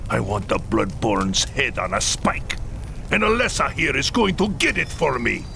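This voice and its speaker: An actor playing a bitter, vengeful middle-aged clan leader in a video game, heated and dramatic, with a gravelly voice. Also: male voice, mid-range voice, sing-song, moderate pace, slight background noise, full character voice. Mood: bitter anger. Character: bitter, vengeful human clan leader, grieving husband and father. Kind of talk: video game cutscene acting. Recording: loud music behind.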